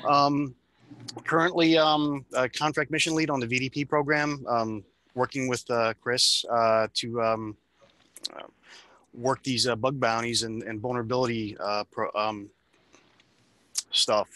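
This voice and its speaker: A man's voice: medium pitch, steady tone, measured pace, pauses often, bright, forceful tone. A man in his forties introducing himself on a panel, rambling and losing his words, speaking into a headset. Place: home office.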